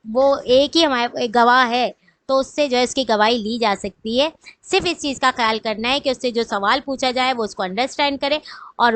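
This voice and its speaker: High-voiced Pakistani woman lecturing. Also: fairly bright tone, no echo. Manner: didactic, poses questions then answers them. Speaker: law lecturer. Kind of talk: explanatory lecture in Urdu mixed with English legal terms.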